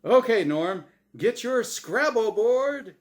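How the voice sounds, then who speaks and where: A man's voice: very high-pitched, lively intonation, takes it slowly, voice pushed up, exaggerated voice. A man voicing a smug, showy angel who hosts a game show, with theatrical, emphatic patter in a storybook reading. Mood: smug, jovial. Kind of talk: storybook character voice in dramatic reading.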